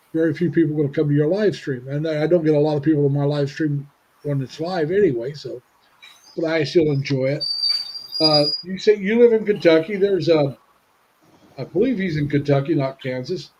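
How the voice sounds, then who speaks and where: Older male livestream host in his 70s, husky mellow voice, halting delivery, dull lo-fi call sound. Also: a little high. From Indiana, USA. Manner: rambling, halting with many restarts. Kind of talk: casual livestream chat. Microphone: video call.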